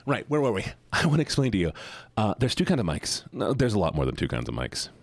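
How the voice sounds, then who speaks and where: A man streaming live with a deep voice, chatting playfully with viewers and explaining microphones into a close studio mic. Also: wildly swooping pitch, fast talker, no echo. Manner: playful and goofy, repeats words, sudden high-pitched yelps and barks; gushing and sincere when thanking viewers. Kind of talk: casual live-stream chat. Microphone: studio microphone at a streaming desk.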